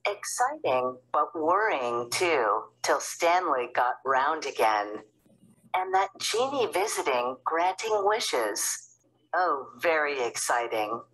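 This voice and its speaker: A woman reading a children's storybook aloud in an expressive narrating style. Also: low voice, takes it slowly, breathy edge, low-quality recording. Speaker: storybook reader.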